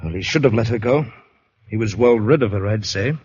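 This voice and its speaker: A man's voice: on the low side, fairly quick, a little mellow, husky. An actor playing an uneasy, polite young man in a 1940s radio drama, speaking in a grave, hesitant way. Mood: wary. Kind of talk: 1940s radio drama acting and first-person narration. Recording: dull vintage radio recording.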